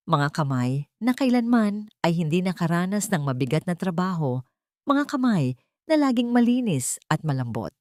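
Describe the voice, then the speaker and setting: A woman's voice: lowish voice, a little mellow, dry recording, clean studio sound. A woman with a Filipino accent narrating a dramatic scripted audio story.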